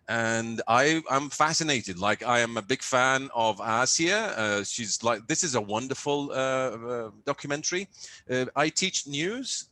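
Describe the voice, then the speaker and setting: A man's voice: medium pitch, bright, forceful tone. A man from Iraq, a university faculty member, introducing himself on a video call with many fillers and restarts. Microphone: computer microphone on a video call.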